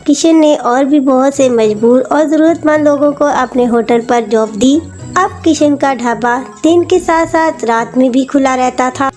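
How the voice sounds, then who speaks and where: Woman storyteller, high pitch, scripted moral narration, faint music underneath. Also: a little mellow.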